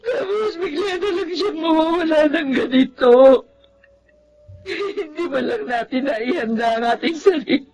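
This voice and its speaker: Emotive middle-aged wife, melodramatic. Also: female voice, high-pitched, expressive, music in the background, poor audio. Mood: weeping. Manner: emotive, drawn-out delivery. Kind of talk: melodramatic Filipino radio drama acting.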